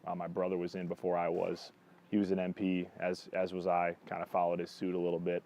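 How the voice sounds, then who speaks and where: Man in his thirties, very deep voice, flat delivery, halting interview answers. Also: slight background noise. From Michigan, USA. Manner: halting, reflective, full of fillers. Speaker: interviewee, former Marine. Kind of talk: casual interview.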